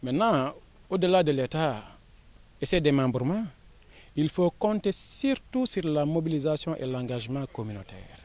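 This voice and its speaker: A man with a Senegalese accent, an education official giving a formal, measured interview statement with frequent pauses and fillers. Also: on the high side, sing-song, a little mellow.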